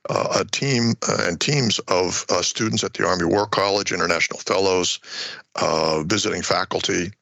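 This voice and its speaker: Low, creaky older American man. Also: sharp tone, dry recording. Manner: hesitant, halting delivery with repeated words. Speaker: retired war college professor, research guest. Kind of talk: explanatory interview answers.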